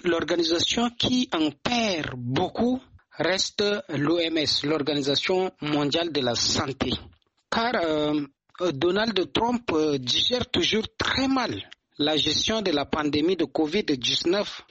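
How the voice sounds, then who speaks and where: A man from Chad giving his view on a radio program, measured and hesitant with frequent fillers. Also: on the high side, fairly bright tone, slightly rough, no room sound, dull audio. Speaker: radio commentator.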